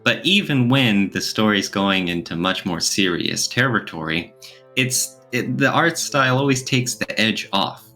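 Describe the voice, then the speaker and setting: A man's voice: fairly low pitch, expressive, a little slow, bright, forceful tone, soft background music. A man co-hosting a podcast, talking casually in a thoughtful, explanatory way. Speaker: podcast co-host. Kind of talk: casual podcast discussion, reviewing.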